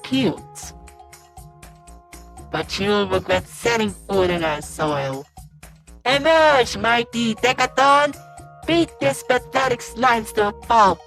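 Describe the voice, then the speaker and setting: A woman's voice: a little high, expressive, slow pace, gravelly, long pauses, a bit bright, soft background music, exaggerated voice. A woman voicing a haughty, villainous army general in a cartoon comic dub, taunting and theatrical. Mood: taunting, menacing. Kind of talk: animated comic dub acting.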